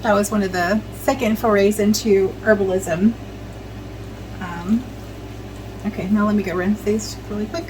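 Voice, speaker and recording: A woman's voice: mid-range voice, steady tone, normal speed, long pauses, background noise. A middle-aged woman chatting casually at home while working, rambling with many ums and restarts. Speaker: vlogger. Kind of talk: casual chat while preserving herbs.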